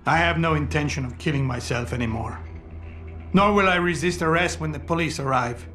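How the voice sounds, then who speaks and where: A defeated, educated doctor in a game cutscene, played by a man. His fairly high male voice is brooding, deliberate and heavy with guilt, with faint music behind it. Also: fairly bright tone, natural voice. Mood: subdued, resigned. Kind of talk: dramatic video game cutscene acting.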